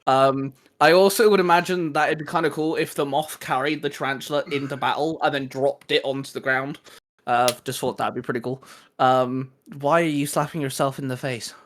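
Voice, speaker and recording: A man co-hosting a fan channel, chatting casually and geekily as he pitches creative ideas. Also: steady tone, brisk, a bit bright.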